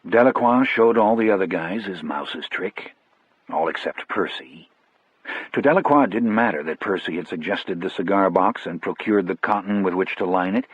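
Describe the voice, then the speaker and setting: Husky male audiobook narrator, low-pitched. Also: no echo, narrow, tinny audio. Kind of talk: scripted first-person story narration.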